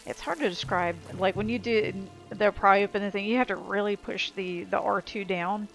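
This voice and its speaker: A woman's voice: mid-range voice, fairly even intonation, moderate pace, creaky, poor audio. A woman giving casual, chatty video game commentary in a playful way. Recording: game music behind the voice.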